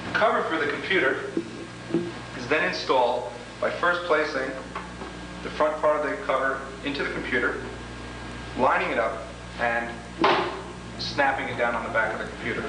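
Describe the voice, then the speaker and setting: Guy doing a methodical how-to demo, mic far off, noisy old 80s tape. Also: mid-range voice. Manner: deliberate, methodical explaining. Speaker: product demonstrator. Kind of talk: instructional demo walkthrough, step by step. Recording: roomy, echoing, noisy 1980s demo tape, distant mic.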